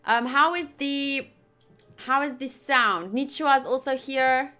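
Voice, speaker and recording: A woman's voice: high voice, slow pace, bright, forceful tone, faint music behind. A woman chatting casually on a livestream as she plays and reads along with a game, in a rambling, playful way. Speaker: streamer.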